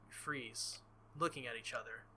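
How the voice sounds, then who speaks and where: A man's voice: mid-range voice, fairly even intonation, moderate pace, pushed and bright, slight background noise, rough recording. A man reading a visual novel aloud as a let's-play host, theatrical and jokey, with casual asides. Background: faint game music.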